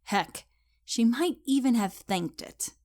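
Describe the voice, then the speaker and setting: A woman's voice: a little high, expressive, takes it slowly, darkish tone. A woman reading scripted story narration expressively, as the narrator of a comic tale.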